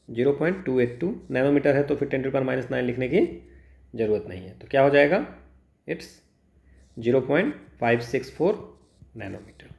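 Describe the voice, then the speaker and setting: An Indian man tutoring physics, working through exam problems step by step, mixing Hindi and English and asking himself questions he then answers. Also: a little high. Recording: echoey room.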